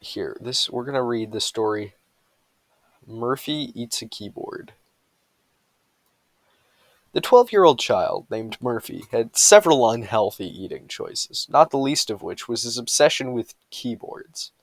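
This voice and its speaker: A man's voice: mid-range voice, animated, measured pace, dry recording. A man reading humorous bedtime stories aloud with a mock-serious storytelling delivery.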